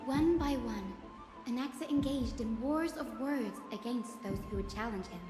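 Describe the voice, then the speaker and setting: A high-voiced young woman played by an actress tells a story as a video game companion. She is articulate and measured. Her voice rings with echo and reverb, over music. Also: lightly acted. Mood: recounting, composed. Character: young woman companion recounting a heretical scholar's trial. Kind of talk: scripted game dialogue, storytelling narration. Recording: echoey reverb with music behind.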